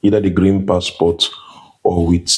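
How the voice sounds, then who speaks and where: Deep husky-voiced male interviewer. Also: little pitch movement, a little slow, darkish tone. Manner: encouraging, brief affirmations. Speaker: host.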